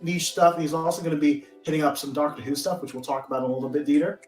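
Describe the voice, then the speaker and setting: Male host, slightly high voice, roomy echo, informal and upbeat, casual group chat over faint music. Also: fairly even intonation, fast. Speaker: live stream host.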